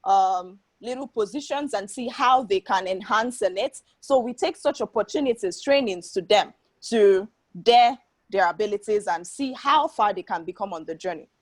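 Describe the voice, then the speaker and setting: A woman's voice: medium pitch, dry recording. A woman giving earnest, explanatory interview answers as the founder of an organization, often checking in with a questioning tag. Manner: often tags statements with a questioning "right?".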